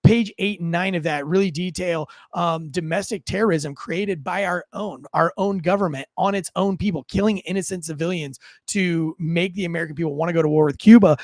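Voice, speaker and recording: A man hosting an online news show, giving informal, unscripted commentary to viewers in a halting way, often repeating words. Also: high-pitched, a little mellow, dry recording.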